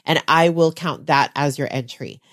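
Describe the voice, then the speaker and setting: A woman's voice: normal speed, fairly bright tone. A woman hosting a podcast in a chatty, casual way, stuffed up and getting over the flu. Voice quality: lower voice than usual. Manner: chatty, says "y'all", fillers. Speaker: podcast host.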